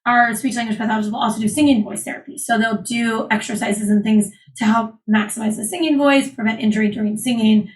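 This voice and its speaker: A woman surgeon, calmly explaining voice care as an interview guest. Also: a little high, fast talker, few pauses. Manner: clear, explanatory, calm. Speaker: laryngologist guest. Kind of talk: interview, explaining medical topics.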